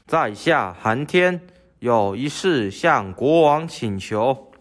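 A teenage boy playing a dutiful, humble father who speaks deliberately and formally in a radio drama. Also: mid-range voice, expressive.

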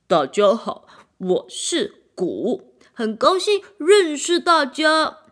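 A woman's voice: sits high, very animated, slow, voice pushed up, full character voice. A woman voicing a modest, good-natured male drum in a children's storybook. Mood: friendly. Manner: modest and warm. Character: humble friendly male drum.

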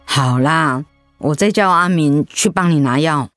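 A woman's voice: deep voice, measured pace, fairly bright tone, dry recording, studio quality, soft background music. A woman playing an elderly, firm but generous mother-in-law in a radio drama, speaking plainly. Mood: resigned. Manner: scolding, plain-spoken.

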